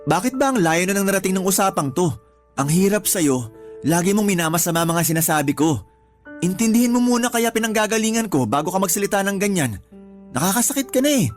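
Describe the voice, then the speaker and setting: A man's voice: high voice, animated, a little fast. An actor playing a patient but exasperated live-in boyfriend in a Tagalog radio drama, earnest and reproachful as he reasons. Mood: hurt, frustrated. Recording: music bed behind the voice.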